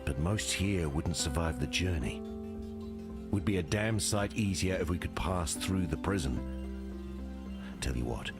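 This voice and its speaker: An actor playing a gruff, blunt, hardened male scout in a video game, speaking in clipped, salty phrases. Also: deep voice, expressive, a little slow, pauses often, over loud music. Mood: grim, matter-of-fact.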